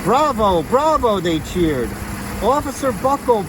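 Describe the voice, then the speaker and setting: A man's voice: high voice, big pitch swings, measured pace. A man reading a children's picture book aloud as narration. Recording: noisy, vehicle sounds behind.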